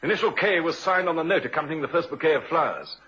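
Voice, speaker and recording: An actor playing a shrewd, unhurried police inspector in an old-time radio drama, a man with a measured, probing, quietly assured manner. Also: on the high side, animated, breathy edge, full character voice. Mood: explaining. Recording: muffled vintage radio recording.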